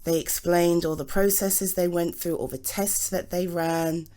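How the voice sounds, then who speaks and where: A woman's voice: lowish voice, steady tone, measured pace, warm tone, natural voice. A British woman playing a supportive, affectionate girlfriend in an intimate ASMR roleplay, earnest and encouraging. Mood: reflective. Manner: encouraging, earnest, emphatic on key words. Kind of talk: ASMR roleplay, intimate one-sided conversation.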